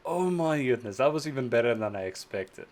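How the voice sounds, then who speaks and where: Young male reaction host, gushing and enthusiastic, average pitch. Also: expressive, a little fast, darkish tone. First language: Afrikaans. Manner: effusive, enthusiastic, thinks aloud with restarts. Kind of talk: casual reaction commentary.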